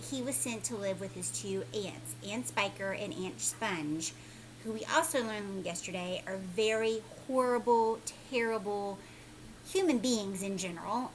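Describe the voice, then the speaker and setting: A woman's voice: mid-range voice, a little hiss or hum, low-quality recording. A woman teacher reading a children's story aloud, speaking expressively and emphatically, with explanatory asides for young listeners.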